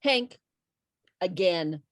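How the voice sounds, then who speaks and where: A woman's voice: lowish voice, lively intonation, drawn-out words, heavy background noise. A woman playing a hard, hostile gun-toting frontier townswoman, curt and commanding, in an amateur table read. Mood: demanding.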